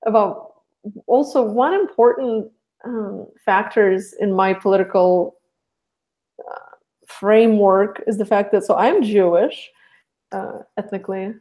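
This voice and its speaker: A woman's voice: mid-range voice, measured pace, pauses often, mellow, rough recording. A young woman from Russia, a podcast guest talking casually and rambling with many restarts.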